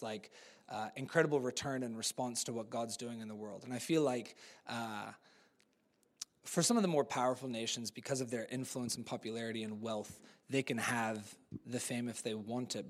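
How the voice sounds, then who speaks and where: A man from New Zealand with an American accent, preaching a live sermon in a church in a conversational, animated way with casual fillers. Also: on the high side. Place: church service.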